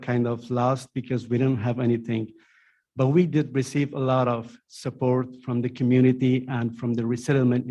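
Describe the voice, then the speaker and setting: A middle-aged man from Afghanistan, a refugee case manager, giving halting testimony at a council meeting with many filler pauses. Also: mid-range voice, warm tone.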